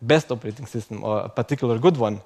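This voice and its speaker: A man's voice: medium pitch, fast talker, gravelly, a little mellow. A young man giving a conference talk in English, which is not his first language, chatty and self-mocking, often ending points with "okay?". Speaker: conference speaker. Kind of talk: conference talk to a live audience.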